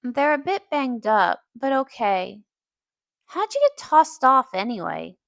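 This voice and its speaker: A woman voicing a warm-hearted, emotional young female pony in a cartoon comic dub, expressive and casual. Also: on the high side, slow pace, vocal fry, no echo, clean studio sound, full character voice. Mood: caring. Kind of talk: animated comic dub voice acting.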